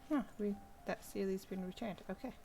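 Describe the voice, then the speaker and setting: A woman's voice: mid-range voice, fairly quick, vocal fry, mellow. A woman game streamer giving casual live commentary as she plays. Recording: game music behind voice.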